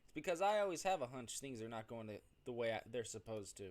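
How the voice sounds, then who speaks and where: A man's voice: medium pitch, lively intonation, quick pace. A man streaming a game, reading its narration aloud expressively, with occasional stumbles and self-corrections. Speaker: gaming streamer doing a playthrough. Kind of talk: reading visual novel narration aloud with off-the-cuff reactions.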